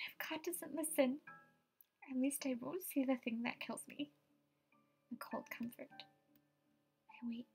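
A woman voicing a frightened, superstitious young estate agent in a horror game, with a dramatic, tremulous delivery. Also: sits high. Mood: terrified, praying. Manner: dramatic, tremulous, breathy asides, outbursts. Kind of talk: horror visual novel voice acting.

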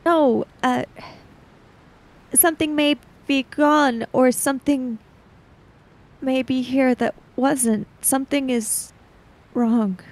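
A woman voicing a frantic, guilt-ridden young woman in a tabletop game, halting and stammering desperately. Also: high voice, fairly even intonation. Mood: alarmed.

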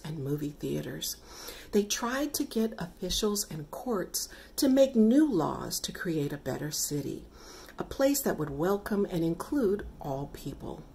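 A middle-aged woman reading her own children's picture book aloud, with deliberate, expressive phrasing for young listeners. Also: mid-range voice, creaky. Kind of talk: read-aloud children's story narration.

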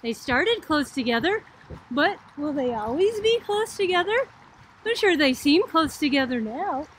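High-pitched woman giving a chatty lesson. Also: very animated, measured pace, slight background noise, rough recording. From Boston, United States. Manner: chatty, informal, explanatory. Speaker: college math lecturer. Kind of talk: casual educational video lecture.

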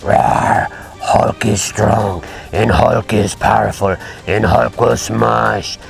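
A hulking, short-tempered brute roars and speaks in clipped broken grammar, as a man puts him on. The voice is deep and gravelly, and the words come very slowly. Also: steady tone, full character voice. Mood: angry. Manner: broken grammar, gruff roaring. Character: huge angry simple-minded male brute. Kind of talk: toy play voice acting.

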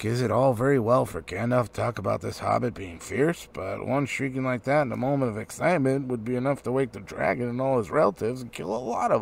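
A man's voice: medium pitch, vocal fry, husky, full character voice. A man voicing a blunt, skeptical, scornful dwarf in a storybook reading. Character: gruff, scornful male dwarf grumbling with doubt.

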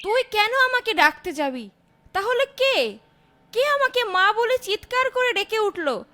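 A woman's voice: very high voice, very animated, a little slow, background noise, heavily acted. A woman voicing a tender, uneasy mother in a fairytale cartoon, with an emotive, pleading delivery. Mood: bewildered, anguished. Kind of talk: melodramatic Bengali cartoon voice acting. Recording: music behind the voice.

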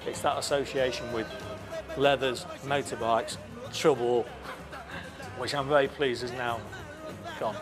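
A man's voice: medium pitch, fairly even intonation, takes it slowly, pauses often. Middle aged biker guy chatting, laughs a bit, trips over words, music behind. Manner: chatty, laughs easily, stumbles and restarts. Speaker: interviewee, motorcycle club member. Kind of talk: interview recollection. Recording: music playing underneath.

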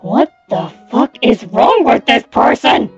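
A woman's voice: a little high, lively intonation, slow pace, breathy edge, lo-fi sound, faint music behind, exaggerated voice. A woman voicing a blunt, exasperated and menacing child in a comic fan dub. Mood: blunt, annoyed. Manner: blunt, incredulous.

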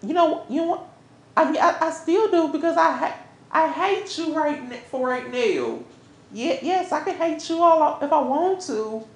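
A woman's voice: high voice, animated, unhurried. A woman acting an angry, heartbroken woman confronting her cheating lover in a melodrama, stammering and repeating herself. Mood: angry, accusing. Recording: echoey, roomy sound.

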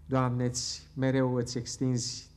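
A middle-aged man leading a closing church prayer at a pulpit microphone, earnest and fervent, pausing now and then. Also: mid-range voice, completely flat delivery. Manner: earnest, fervent, halting at times. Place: church hall with wood paneling.

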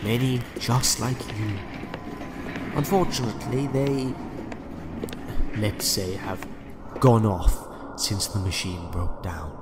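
Sinister ancient male ruler-scientist, low pitch, measured, coldly courteous, radio play villain, heavy music underneath. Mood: cold, menacing. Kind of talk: audio drama acting.

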